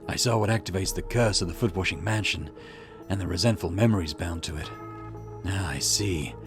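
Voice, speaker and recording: Brief: gruff, steady male police boss for a visual novel, acted by a male player, low-pitched, music underneath. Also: a little fast. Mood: grave, calm. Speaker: let's player voicing game dialogue. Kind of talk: visual novel dialogue read aloud.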